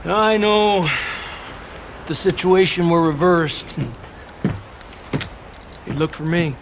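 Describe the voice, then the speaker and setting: Western game role: steadfast male gang rider, raised pitch, measured pace, watchful. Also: background noise. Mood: reluctant, sincere. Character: loyal, alert male outlaw rider. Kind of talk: dramatic video game acting.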